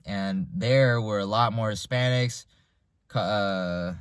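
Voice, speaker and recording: A man's voice: lowish voice, takes it slowly, clear, resonant tone, no echo, studio quality. A man in his twenties, raised in the United States, talking through his thoughts in a loose, reflective monologue with frequent restarts. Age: 20s. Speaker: vlogger. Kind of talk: casual unscripted monologue.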